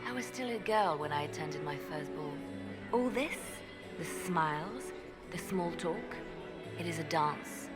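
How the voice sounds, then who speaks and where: A poised spymaster who sizes people up with a dry, scornful wit. Her tone is cool and knowing, set a little low, with music swelling clearly under the game scene. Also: lively intonation, a little slow. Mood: wry. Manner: cool, knowing, quietly sardonic. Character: shrewd poised female spymaster, dryly scornful. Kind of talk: video game cutscene dialogue. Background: orchestral music.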